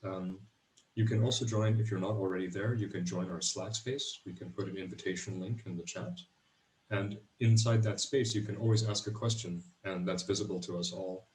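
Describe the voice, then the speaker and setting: A man on the project team explaining things calmly in an online meeting, heard in an echoey room away from the mic. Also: deep voice, moderate pace. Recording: echoey room, far from mic, faint noise.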